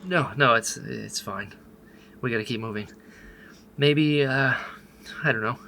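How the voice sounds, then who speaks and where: A man's voice: mid-range voice, a little slow, creaky, sharp tone, slight background noise. A man voicing a hesitant young rebel doctor who speaks with many fillers. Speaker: doctor Nero.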